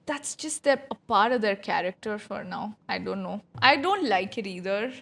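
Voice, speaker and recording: A woman's voice: mid-range voice, animated, moderate pace, creaky, a bit bright. A woman streamer giving casual, chatty livestream commentary on a game, informal in her wording.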